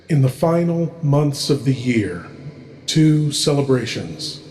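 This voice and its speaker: A man hosting a horror program, giving a slow, hushed and dramatic spooky introduction. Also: medium pitch, a little hiss or hum. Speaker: horror show host.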